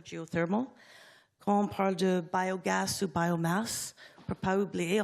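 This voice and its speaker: Panelist, a woman with a lowish voice who mixes into hesitant French. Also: a little mellow. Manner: halting French mixed with English words. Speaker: conference panelist. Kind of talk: conference panel talk.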